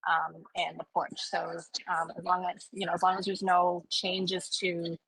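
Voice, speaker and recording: Hesitant raspy woman neighbor. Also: fairly low pitch, completely flat delivery, a little slow, a bit bright, no room sound, poor audio. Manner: hesitant, many fillers. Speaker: neighbor asking questions. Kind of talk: public hearing question.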